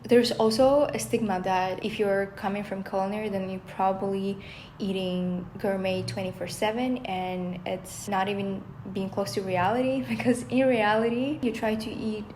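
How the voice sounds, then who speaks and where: A young woman from Russia, a native Russian speaker, talks casually to her viewers, padding her sentences with "like" and "you know." Her pitch sits in a middling range and barely moves, giving an even, flat delivery. A faint hum of background noise sits under the voice. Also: normal speed, poor audio. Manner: chatty, frequent "like" and "you know". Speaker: vlogger, former chef. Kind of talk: casual chat to camera.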